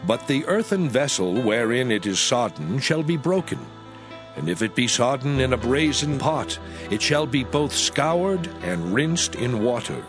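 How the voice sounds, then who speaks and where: A man playing God proclaiming sacred laws in a dramatized scripture reading, solemn, deliberate and declamatory. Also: medium pitch, lively intonation, close to an everyday voice. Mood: solemn, commanding. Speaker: scripture voice actor. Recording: music under the voice. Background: background music.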